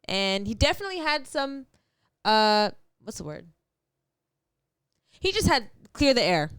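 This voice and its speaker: Clear-voiced woman chatting on a podcast. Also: fairly high pitch, big pitch swings, measured pace, dry recording, clean studio sound. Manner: informal slangy shout-outs. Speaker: podcast co-host. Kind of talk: casual sports podcast chat.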